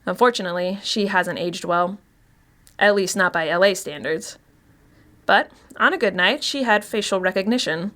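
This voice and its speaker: A woman's voice: fairly low pitch, a bit bright, lightly acted. An actress playing a wry, chatty woman past thirty, telling her story as a confiding first-person monologue. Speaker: actor reading a short story.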